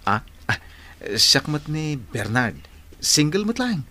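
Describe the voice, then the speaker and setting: A man's voice: on the high side, big pitch swings, slow pace, bright, forceful tone, full character voice. A man playing a flirtatious, evasive married man in a radio drama, playful and stammering out excuses. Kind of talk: Ilocano radio drama acting.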